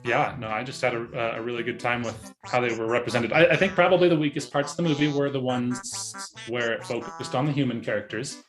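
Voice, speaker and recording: A man's voice: mid-range voice, fairly quick, few pauses, low-quality recording. A man co-hosting a casual movie review, talking in a hesitant, rambling way and often correcting himself. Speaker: podcast co-host reviewing a movie. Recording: music behind the voice.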